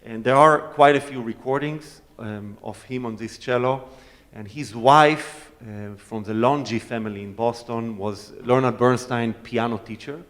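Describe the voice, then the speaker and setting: A man's voice: medium pitch, a little slow, hardly any pauses, fairly bright tone. A man whose first language is Hebrew, a guest cellist answering audience questions in a friendly, explanatory way and demonstrating as he talks. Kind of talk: Q&A talk with a concert audience. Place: concert hall. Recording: echoey hall, voice from far off.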